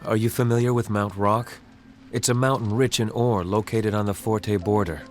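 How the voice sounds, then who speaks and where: A man voicing an earnest young prince, speaking in a lowish, soft-spoken voice. He is measured and formal, with game music clearly audible behind him. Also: steady tone, lightly acted. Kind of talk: game cutscene voice acting. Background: game soundtrack music.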